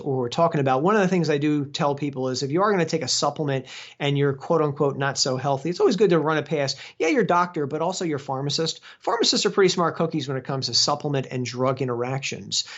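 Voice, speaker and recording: A man's voice: fairly high pitch, fast talker. A man, a guest exercise physiologist, explaining at length in casual chat with many fillers and restarts. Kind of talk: casual interview over a call. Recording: dull lo-fi sound.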